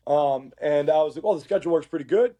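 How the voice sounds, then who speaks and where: A man with a raspy, hoarse voice chatting casually and recounting his work as a police instructor. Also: a little high, fast, highs cut off. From Uruguay. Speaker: podcast guest, police academy instructor. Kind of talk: casual conversational storytelling.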